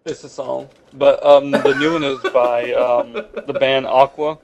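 A man's voice: mid-range voice, flat delivery, measured pace, crowd noise. A man co-hosting a casual podcast who fires off wry, teasing retorts. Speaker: podcast co-host. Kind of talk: casual banter.

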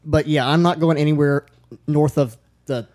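A man co-hosting a podcast in casual chat, blunt and quick to swear. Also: on the high side, steady tone, darkish tone. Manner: blunt, profane banter. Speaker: podcast co-host.

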